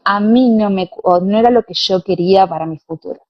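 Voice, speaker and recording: A woman's voice: medium pitch, fairly quick. A young woman with an Argentine Spanish accent, answering conversationally as an interview guest. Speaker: interview guest, pilates teacher and former dancer. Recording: dull, lo-fi online call audio.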